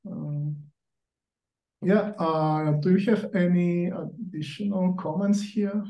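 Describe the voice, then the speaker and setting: A man chairing a work call, speaking in a hesitant, halting way as he goes through the discussion. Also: high voice, steady tone, gravelly, warm tone, muffled recording. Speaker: meeting chair. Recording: roomy echo.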